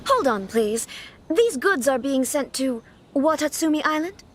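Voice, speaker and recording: A woman's voice: sits high, animated, measured pace, slight background noise. A woman playing a young noblewoman in a video game; she speaks formally and courteously, with small nervous stammers now and then. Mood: earnest.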